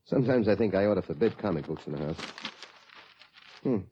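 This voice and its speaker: A man playing a genial, indulgent middle-aged father in 1950s radio drama style, hearty and folksy, with a husky voice. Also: deep voice, animated, fairly quick, highs cut off, slight background noise. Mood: musing.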